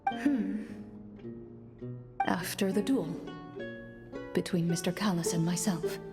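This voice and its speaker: Slow, reserved storyteller. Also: female voice, fairly low pitch. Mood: thoughtful. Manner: deliberate, formal. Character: cool, reserved woman narrating a tabletop adventure as game master. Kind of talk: scripted storytelling in a video game. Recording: long reverb, faint music behind.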